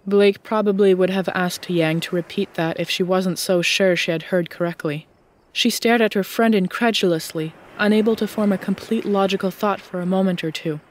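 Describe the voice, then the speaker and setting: A woman narrates an audiobook story from a script. Her voice sits at an ordinary middle pitch as she reads the prose. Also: steady tone, moderate pace.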